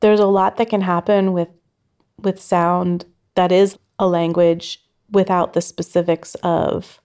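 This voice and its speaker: A woman's voice: on the low side, vocal fry, dark tone. A woman sound artist talking reflectively in an interview, thoughtful and loosely phrased with frequent "like"s.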